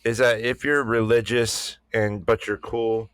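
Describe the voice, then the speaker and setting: A man's voice: medium pitch, flat delivery, measured pace, a bit bright, clean tone, no room sound. A man co-hosting a podcast, talking bluntly and with plenty of swearing. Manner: blunt, profane, opinionated. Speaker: podcast co-host. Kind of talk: casual podcast chat.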